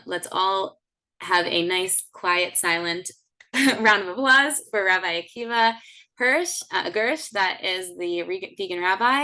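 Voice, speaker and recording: A woman in her thirties hosts a class over a video call, talking in a chatty, rambling way. Her voice is very low for a woman, with a bright, forward edge. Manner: chatty, with many fillers and restarts. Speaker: host of the class. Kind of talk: casual hosting on a video call. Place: home setting indoors.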